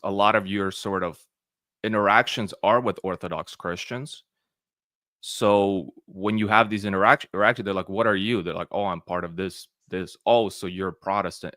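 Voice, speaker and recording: A young man, telling his story in a relaxed interview. He rambles, often restarting his sentences and filling pauses. The sound is dry and close, with almost no room echo. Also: lowish voice. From Western Ukraine. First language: Ukrainian. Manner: rambling, many restarts and fillers. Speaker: interview guest.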